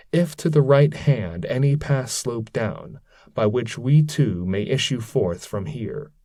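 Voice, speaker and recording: A man's voice: mid-range voice, mellow, dry recording, clean studio sound. A man voicing a dignified poet's shade who guides, courteous and formal, in a reading of epic verse.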